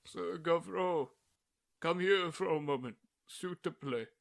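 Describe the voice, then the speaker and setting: A man's voice: fairly high pitch, slow, vocal fry. A man voicing a grave, commanding king who gives orders in an ASMR roleplay.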